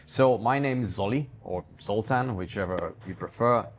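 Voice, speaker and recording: A man's voice: fairly low pitch, moderate pace, a little hiss or hum. A man from Hungary answering questions conversationally as an interview guest, talking about his own life and work. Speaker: guest, digital entrepreneur running a book publishing company.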